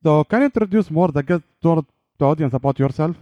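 A man's voice: on the high side, animated, quick pace, dark tone, no room sound, lo-fi sound. A man hosting a podcast, speaking casual English with loose grammar and interviewing a guest. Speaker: podcast host.

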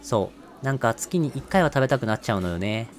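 A man's voice: mid-range voice, animated, fast, faint music behind, close to an everyday voice. A man playing a casual, reluctant woman consumer with feminine phrasing in an amateur radio drama. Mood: breezy, reluctant.

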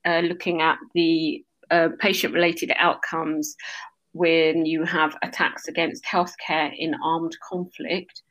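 Lower-voiced woman, podcast guest. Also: fairly bright tone, poor audio. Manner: thoughtful, with fillers and self-corrections. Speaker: guest, emergency doctor and journalist. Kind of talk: casual podcast interview.

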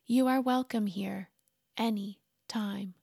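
A woman voicing a captive mermaid mother who pleads in high, frantic cries, from a storybook. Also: fairly even intonation, takes it slowly, gravelly, a little mellow, breathy edge, dry recording, studio quality, full character voice. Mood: grateful.

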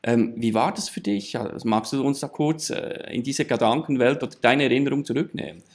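A man's voice: mid-range voice, a little fast. A man interviewing a guest, asking long courteous questions framed with fillers.

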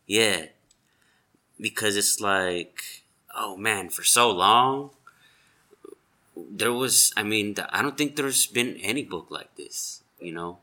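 A man's voice: fairly low pitch, slow, long pauses, sharp tone. A man who is a chef, talking casually and animatedly, rambling with lots of fillers. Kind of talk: casual conversation.

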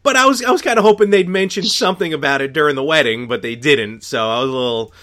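A man's voice: on the high side, lively intonation, fast talker, a bit bright. A man co-hosting a casual, unscripted podcast about retro games, rambling and correcting himself as he goes. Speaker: podcast co-host.

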